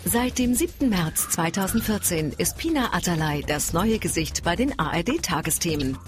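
A woman reading a scripted profile as a smooth, informative voice-over. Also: lowish voice. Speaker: announcer.

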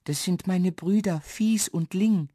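A woman's voice: fairly low pitch, slow pace, warm tone, no room sound, studio quality, pitched above the performer's own voice. A woman voicing a tiny, timid male dwarf for a children's storybook, stammering and whispery.

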